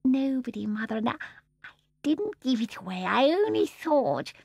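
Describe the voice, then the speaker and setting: Woman doing a timid giant daughter, frightened, faltering, near-whisper, breathy, dry. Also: sits high, very animated, moderate pace, darkish tone, dry recording, pitched above the performer's own voice, heavily acted. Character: huge, timid grown daughter, cowed and tearful.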